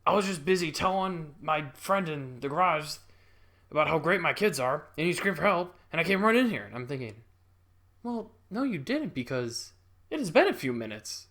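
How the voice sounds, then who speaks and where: A man's voice: fairly high pitch, big pitch swings, moderate pace, a bit bright. A young man casually telling a childhood story, rambling and interrupting himself with chuckles.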